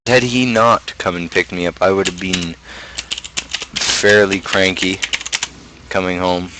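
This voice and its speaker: Young male streamer, low register, casual and opinionated rambling, light noise behind the voice. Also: fairly even intonation, measured pace. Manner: opinionated, ranting. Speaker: livestream host. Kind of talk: casual rambling livestream chat.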